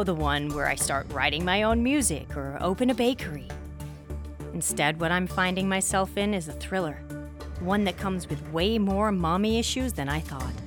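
A woman's voice: lowish voice, close to an everyday voice. A young woman playing a sheltered, wry daughter in her twenties in an audio drama, with a self-deprecating, introspective manner. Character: sheltered, wry twenty-three-year-old daughter, a genetics PhD student. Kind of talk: audio drama acting with introspective first-person narration. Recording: music behind the voice.

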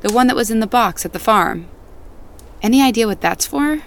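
An actress playing a curious, thoughtful woman with a gentle, probing manner, in naturalistic audio drama dialogue. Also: a little high, lively intonation, brisk. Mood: gently probing. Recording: faint outdoor ambient noise.

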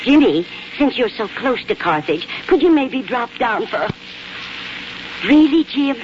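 A woman's voice: a little high, very animated, measured pace, background noise. An actress playing a fretful, doting middle-aged small-town mother in 1940s radio drama, eager and folksy. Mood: eager, excited. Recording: dull 1940s radio, music behind.

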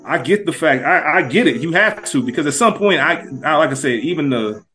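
Measured man talking as a podcast guest. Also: fairly high pitch, expressive, sharp tone, faint music behind. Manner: measured, with frequent "like" and "um". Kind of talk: casual podcast chat.

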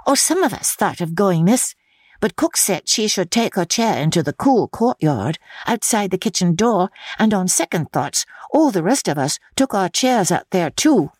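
A woman puts on a young storybook housemaid, deferential and chatty. The voice sits higher, with lively, artless swings and a slight husk. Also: moderate pace, slightly rough, dry recording, studio quality. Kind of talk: dramatic audiobook character reading.

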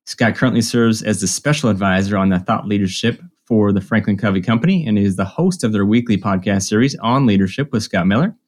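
A man hosting a podcast, talking in a friendly, enthusiastic way with quick asides. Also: on the low side, darkish tone. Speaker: podcast host. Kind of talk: casual interview hosting.

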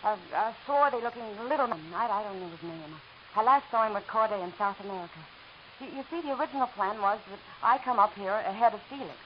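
A woman's voice: mid-range voice, animated, fast talker, lightly acted. A woman playing a stammering, nervous young woman. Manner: stammering, hesitant. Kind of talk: 1940s radio acting. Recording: hissy dull old radio broadcast.

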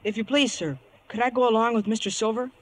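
A male actor playing an earnest, nervous cabin boy with a husky voice in a 1950s radio drama. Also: sits high, fairly even intonation, fast, lightly acted. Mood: hesitant, dutiful.

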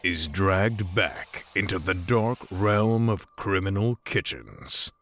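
A man with a lowish voice announces like a film trailer, grand and theatrical. His delivery swings dramatically and takes its time. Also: expressive, slow pace, a bit bright, slight background noise. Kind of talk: dramatic movie-trailer narration. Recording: music behind.

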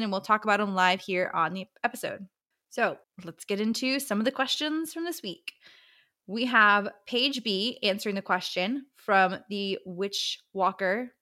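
A woman's voice: mid-range voice, pushed and bright. A woman hosting a book podcast, chatting casually in a slangy, exclamatory way. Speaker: podcast host.

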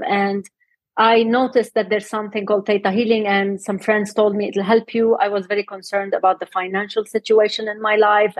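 A middle-aged woman from Lebanon, a health coach and guest, talking in a relaxed interview and laughing often. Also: mid-range voice, no echo, poor audio. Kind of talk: casual interview talk.

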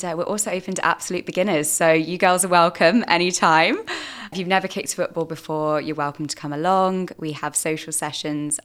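A young woman from the UK talks as a guest on a casual podcast, lively and eager to promote her club. Her voice sits low and comes across smooth and resonant, though a little sore and tired. She speaks at length with friendly enthusiasm. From United Kingdom. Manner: upbeat, talkative, promotional. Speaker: football club co-founder, guest.

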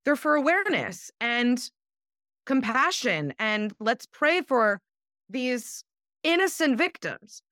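A woman's voice: high voice, big pitch swings, slow, bright, forceful tone, dry recording, studio quality. A woman speaking as a guest in a casual political conversation. She is emphatic and indignant and swears for emphasis. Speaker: guest commentator.

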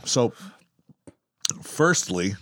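A man's voice: fairly low pitch, sing-song, drawn-out words, fairly bright tone, no room sound, clean studio sound. Man joking around on a podcast. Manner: joking, rambling with many restarts. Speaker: podcast co-host. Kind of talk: casual banter. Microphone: headphones and mic stand.

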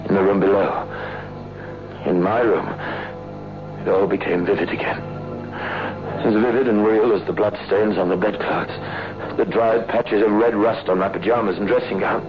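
A low male voice from an actor playing a frightened man whose mind is slipping. He is halting and anguished, in old radio horror style. The sound is dull and vintage, with heavy music behind it. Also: fairly even intonation, natural voice. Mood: haunted, brooding. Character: haunted, frightened man losing his mind. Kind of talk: 1960s radio horror drama acting.

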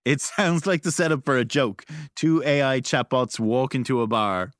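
A man's voice: mid-range voice, moderate pace, no room sound, studio quality. A man hosting a podcast, interviewing in a casual, playful style. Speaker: podcast host.

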